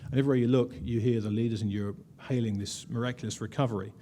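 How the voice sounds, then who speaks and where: A man's voice: medium pitch, fairly even intonation, racing, dark tone, a little hiss or hum. A man presenting slides at a conference, wry and conversational, with frequent "uh" fillers. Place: conference room.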